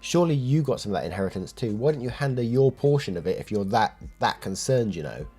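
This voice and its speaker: A man's voice: medium pitch, lively intonation, quick pace, mellow, breathy edge, soft background music. A British man with a stuffy cold, chatting and reading online stories aloud as a channel host. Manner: friendly, chatty, thanks listeners. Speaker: story narrator. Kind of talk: casual reading of online posts.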